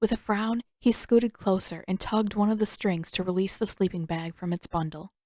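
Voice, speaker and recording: A woman reading scripted fiction aloud as an expressive audiobook narrator. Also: medium pitch, normal speed.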